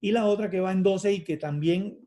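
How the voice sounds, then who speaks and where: A man's voice: high voice, warm tone. A man, a university lecturer giving a reflective talk, full of fillers and restarts. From Cuba. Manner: hesitant, many fillers and restarts; measured and reflective. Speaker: university lecturer in physical education. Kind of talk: academic conference talk.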